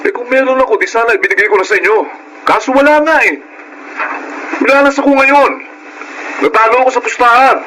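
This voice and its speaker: An actor playing an irritable, defensive gambler husband and father in a radio drama, gruff and snapping. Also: very high-pitched, a little fast, long pauses, pushed and bright, slight background noise, low-quality recording.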